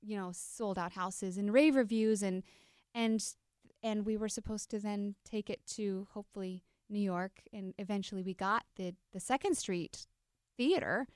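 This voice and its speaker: A woman's voice: mid-range voice, moderate pace, clean tone, no echo, clean studio sound. A woman, a stage actress, talking casually as a guest in a group interview.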